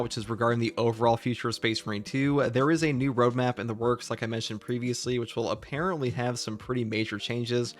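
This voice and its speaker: A man giving scripted game news commentary as a video host, in an opinionated, informal way. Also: medium pitch. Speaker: gaming YouTuber, host.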